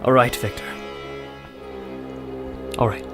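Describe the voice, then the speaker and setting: A man's voice: slow, music in the background, close to an everyday voice. An actor playing an earnest male scholar and translator held captive, in an old-time radio drama. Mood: resigned.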